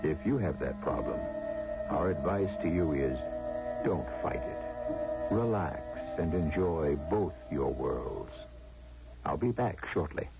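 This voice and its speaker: A man hosting a radio drama with scripted, theatrical narration in a measured manner. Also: rumbling low, lively intonation, vocal fry, over loud music. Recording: muffled old radio broadcast recording.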